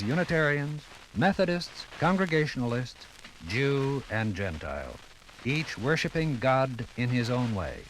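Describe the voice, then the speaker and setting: A man narrating a 1950s radio documentary from a script in a solemn, measured, stately manner. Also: medium pitch, fairly bright tone. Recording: crackly 1950s radio record. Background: music.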